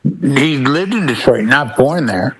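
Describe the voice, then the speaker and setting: A man co-hosting a casual panel chat, talking in an animated storytelling way. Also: mid-range voice, takes it slowly, crowd noise, rough recording. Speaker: podcast co-host.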